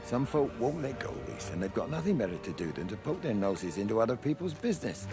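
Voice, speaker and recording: Male actor as gruff village bloke, middle aged, plain talking, pitch moves a lot, game drama w/ music behind. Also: big pitch swings, quick pace, lightly acted. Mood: stern, fatherly. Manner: blunt, colloquial. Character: gruff, plain-spoken English countryman, grieving and stubborn. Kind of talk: video game drama acting. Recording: music under the voice.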